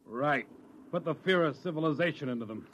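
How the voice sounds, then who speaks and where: An actor playing a grim, trigger-happy second in command in 1950s radio drama style. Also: male voice, a little high, fairly quick, a bit bright, lightly acted. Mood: grimly compliant. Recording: noisy vintage radio recording.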